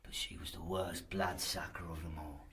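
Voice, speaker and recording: An actor playing a coarse, lecherous, gluttonous castle guard with a sardonic, earthy delivery in an audio horror drama. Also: male voice, low-pitched, level tone, normal speed, vocal fry, fairly bright tone. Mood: sardonic, grim.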